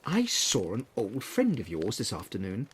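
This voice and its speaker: Audiobook role: narrator voicing a genial family man, lower register, teasing tone. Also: huge pitch swings, normal speed, slight background noise. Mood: amused. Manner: smiling, teasing. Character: cheerful family man, husband.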